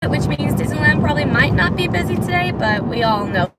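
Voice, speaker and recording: A hoarse woman who is losing her voice, chatting in a rambling way as she drives on a freeway. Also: sits high, animated, fairly quick. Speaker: vlogger. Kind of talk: casual chat while driving. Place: car on a freeway. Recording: loud road noise in a car. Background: loud car road noise.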